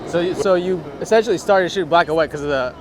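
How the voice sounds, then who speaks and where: A man's voice: fairly high pitch, fast, noisy background. A man asking questions as a casual interviewer, informal and inquisitive. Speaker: host interviewing a photographer. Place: outdoors on city streets. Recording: busy outdoor street with people around.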